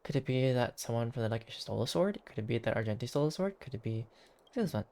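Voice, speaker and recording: A man's voice: medium pitch, lively intonation, very fast, darkish tone. A man streaming a game with casual, joking commentary, rambling playfully as he reacts. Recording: game music behind. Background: game music.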